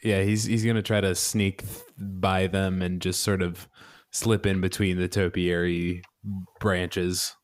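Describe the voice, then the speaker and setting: Slow-talking man playing a tabletop game. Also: low voice, little pitch movement, dry recording, clean studio sound. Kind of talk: casual improvised game narration.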